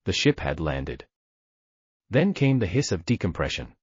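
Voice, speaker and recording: A man's voice: deep voice, very animated, a little slow, breathy edge, no echo. A man giving grave, dramatic narration of a science fiction story. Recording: dull dry lo-fi recording.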